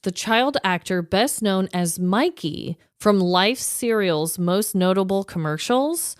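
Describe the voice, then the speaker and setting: A woman co-hosting a podcast, telling stories from her notes in an informal, animated, emphatic way. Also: lowish voice, smooth, no room sound, studio quality. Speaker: podcast co-host. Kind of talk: chatty storytelling, reading quotes from notes.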